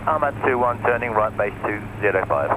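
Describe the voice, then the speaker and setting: A man's voice: lowish voice, very animated, brisk. A man making brief procedural pilot calls over aviation radio. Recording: noisy aircraft radio transmission.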